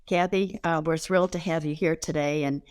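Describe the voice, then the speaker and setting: Low-pitched older American woman hosting. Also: fairly even intonation, a little fast, a little mellow, smooth, no room sound, clean studio sound. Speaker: interview host. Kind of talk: formal guest introduction.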